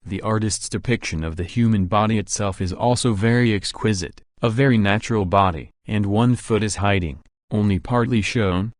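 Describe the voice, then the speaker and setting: A man's voice: lowish voice, moderate pace, no echo, studio quality, close to an everyday voice. A man playing a wealthy, courteous and somewhat bashful suitor in a visual novel. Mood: explaining. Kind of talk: visual novel character acting.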